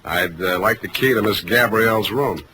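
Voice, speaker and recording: An actor playing a wisecracking, hardboiled private detective, dry and sardonic, in 1940s radio style. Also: male voice, fairly low pitch, moderate pace, background noise, lightly acted. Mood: dry, wry. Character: wisecracking, hardboiled male private detective narrating his case.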